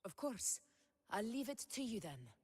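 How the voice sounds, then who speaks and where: A woman voicing a composed, commanding female ruler in a fantasy game, with formal, measured authority. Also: on the high side, very animated, husky, exaggerated voice. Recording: long reverberant hall echo.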